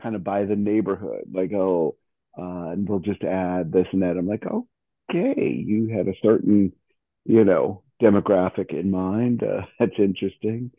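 A man's voice: low-pitched, measured pace, husky, dry recording. A man chatting casually in a conversational, chuckling manner, like a radio show host. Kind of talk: casual chat. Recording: narrow phone-like radio line.

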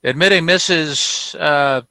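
A pompous, indignant shopkeeper in vintage radio comedy. His voice is sharp, forceful and fairly high for a man, with formal, exacting delivery. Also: slow, no echo, heavily acted. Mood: exasperated. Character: pompous, indignant old-fashioned store proprietor. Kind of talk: 1940s radio comedy acting.

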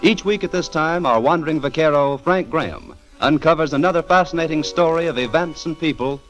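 A man's voice: fairly high pitch, brisk, a bit bright, close to an everyday voice, low-quality recording. An American man announcing for a 1940s radio program in a formal, grandiose scripted style. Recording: old radio broadcast with music behind.

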